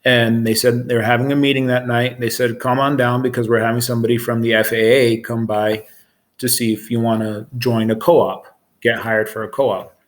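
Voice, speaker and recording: A man's voice: medium pitch, flat delivery, moderate pace. A man in his forties with an American accent, explaining his work as a supervisor in an informal interview, plain-spoken and matter-of-fact. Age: 40s. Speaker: air traffic control supervisor.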